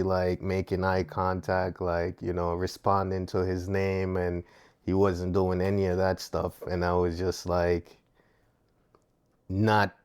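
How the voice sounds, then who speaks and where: A Jamaican man talking casually as a podcast guest, relaxed and hesitant, with many fillers. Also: low-pitched, clear, resonant tone. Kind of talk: casual conversation.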